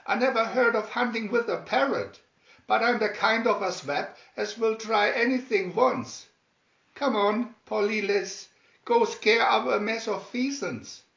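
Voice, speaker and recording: A man's voice: high-pitched, measured pace, vocal fry, fairly bright tone, reverberant, highs cut off, pitched above the performer's own voice, exaggerated voice. A man voicing a good-natured, plucky cartoon sailor with folksy, mangled grammar and emphatic delivery. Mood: eager, plucky.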